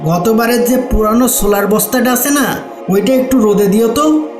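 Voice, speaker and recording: A man's voice: sits high, normal speed, roomy sound, over music, lightly acted. A man voicing a stubborn, greedy shopkeeper husband in a cartoon, curt and dismissive. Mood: bossy. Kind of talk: cartoon voice acting in Bengali.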